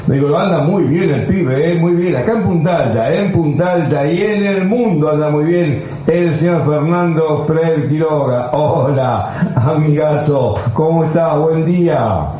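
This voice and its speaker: An effusive Argentine man hosts a radio chat in a rambling, halting way. His voice sits a little high. The recording is heavily echoey and dull, with faint noise. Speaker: radio show host. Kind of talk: casual radio interview chat.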